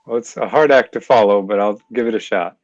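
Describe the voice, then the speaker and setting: A middle-aged man from Pennsylvania, born in Scotland, answering interview questions in a measured, articulate way with frequent fillers. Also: fairly low pitch, no echo. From born in Scotland, raised in Pennsylvania. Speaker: mediator and lawyer, interview guest. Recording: dull, dry lo-fi audio.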